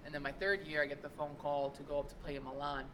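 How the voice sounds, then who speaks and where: A young adult woman chatting casually as a podcast guest, telling stories in an animated, quick way. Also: sits low, a crowd in the background, low-quality recording. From New York. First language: Italian. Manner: animated storyteller, quick asides.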